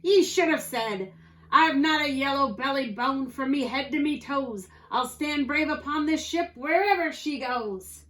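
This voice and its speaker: A woman voicing a gruff, short-tempered male pirate captain in a children's storybook, bellowing in folksy pirate slang. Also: fairly high pitch, expressive, moderate pace, lightly acted, poor audio. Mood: scolding.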